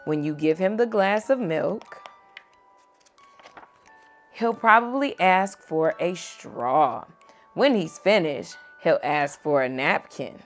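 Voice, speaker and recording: A young woman reading a picture book aloud to a child at home, gentle and expressive. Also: low-pitched, slow pace, pauses often, faint music behind. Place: home bedroom, indoors.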